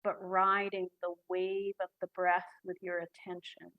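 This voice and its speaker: A woman guiding a breathing meditation in a soft, calm voice with long pauses. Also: on the low side, phone-quality sound. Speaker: meditation guide. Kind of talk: guided meditation.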